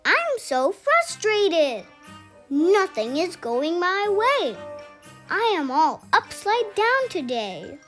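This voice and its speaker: An exasperated storybook turtle voiced by a woman, high in pitch and very slow. The complaints rise and fall widely over music. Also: wildly swooping pitch, fairly bright tone, heavily acted. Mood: frustrated. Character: frustrated turtle stuck upside down.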